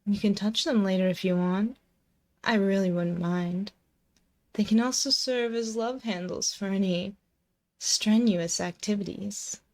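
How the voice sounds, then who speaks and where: A woman's voice: mid-range voice, a little slow, natural voice. A woman playing a possessive, dominant and seductive female demon in an intimate, close-miked ASMR roleplay, commanding, teasing and doting. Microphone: close binaural microphone.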